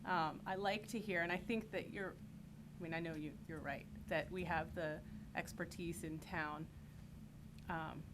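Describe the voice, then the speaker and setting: A woman's voice: on the low side, steady tone, a little slow. A woman on a town board, speaking hesitantly with many fillers and deferring to the group. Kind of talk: meeting discussion. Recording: noisy meeting room audio.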